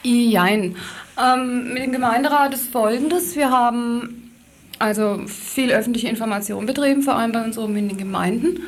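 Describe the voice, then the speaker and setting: A woman's voice: on the high side, moderate pace. A woman speaking as a campaign spokesperson in an interview, giving detailed, factual answers with hesitations and self-corrections. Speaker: activist spokesperson being interviewed. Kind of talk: spontaneous explanatory interview answers.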